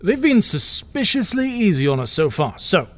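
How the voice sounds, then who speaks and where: A man's voice: sits high, very animated, normal speed. Breezy teasing man in an echoing hall. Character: breezy, teasing male diplomatic colleague. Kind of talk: audio drama acting.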